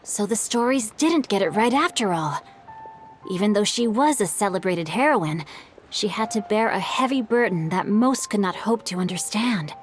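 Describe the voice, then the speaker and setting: A woman voicing a gentle, kind confidante in a video game. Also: medium pitch, lightly acted.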